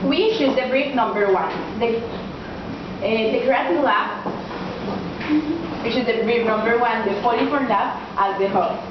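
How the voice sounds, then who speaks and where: A young woman giving a group project presentation as a student, halting and searching for words. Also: a little high. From Colombia. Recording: echoey, dull lo-fi room recording with noise.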